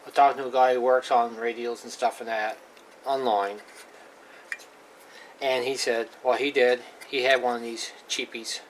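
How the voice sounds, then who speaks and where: Male hobbyist presenter, unhurried and plain-spoken, casual hands-on demo over clearly audible noise. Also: mid-range voice. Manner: plain-spoken, halting, repeats himself. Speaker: hobbyist presenter demonstrating radio equipment. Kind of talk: casual unscripted hands-on demonstration. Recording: clearly noisy amateur recording.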